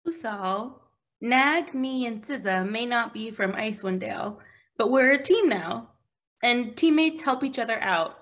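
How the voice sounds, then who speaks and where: Woman voicing a supportive cartoon fantasy heroine, fairly high voice, earnest, loyal. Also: animated, a little slow, fairly bright tone. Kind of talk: animated fantasy script table read.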